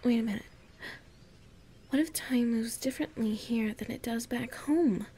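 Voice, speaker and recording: A woman acting a clumsy, anxious young woman in an audio roleplay, murmuring and thinking aloud in a halting, breathy way. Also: on the high side, warm tone, a little hiss or hum, close to an everyday voice. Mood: worried musing.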